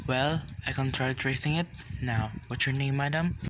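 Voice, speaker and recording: An actress playing a chief telephone operator in a radio play, formal, procedural and unmoved. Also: female voice, extremely low pitch, normal speed, dry recording. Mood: cool, official.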